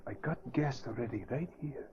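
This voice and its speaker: An actor playing a loyal, eager valet and crime-fighting sidekick in clipped, deferential broken English, in a 1940s radio drama style. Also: male voice, mid-range voice, moderate pace, music in the background, close to an everyday voice. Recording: muffled vintage radio recording.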